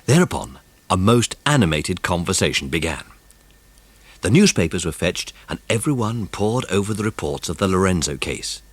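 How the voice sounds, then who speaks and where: A man's voice: low voice, very animated, moderate pace, slightly rough, dry recording. Dry, close male storybook narrator. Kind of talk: scripted children's story narration.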